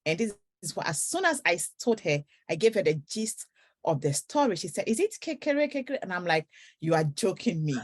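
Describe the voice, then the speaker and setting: A woman's voice: fairly low pitch, big pitch swings, brisk. Earnest woman author telling her story. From Ghana. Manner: earnest storytelling, breaks into song. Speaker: author being interviewed. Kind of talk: faith interview.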